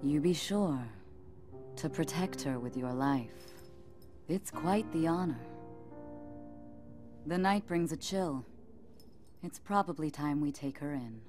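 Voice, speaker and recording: A regal desert chieftain in a fantasy game. The voice is deep for a woman and unhurried. The manner is knowing and motherly, over background music. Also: lively intonation. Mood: gentle, solemn. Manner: measured, knowing, wry. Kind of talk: video game cutscene acting. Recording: music behind the voice.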